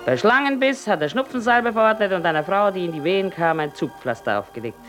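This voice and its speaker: A woman's voice: mid-range voice, animated, fast talker, a bit bright, soft background music, natural voice. An actress in a German radio drama playing a sick woman in an asylum, self-mocking, teasing and wistful. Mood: wistful, reminiscing.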